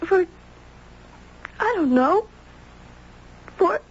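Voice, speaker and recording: A woman's voice: very high-pitched, expressive, very slow, full character voice. A woman playing an earnest, troubled young girl in a 1970s radio drama, hesitant and plaintive. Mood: guilty, pleading. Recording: muffled, noisy old radio recording.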